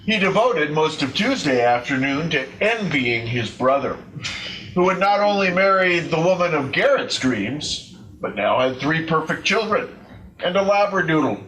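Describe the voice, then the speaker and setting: A man reading a scripted story aloud as a readers theater performer, deliberately and with comic emphasis. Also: on the high side, very animated, fairly bright tone, low-quality recording.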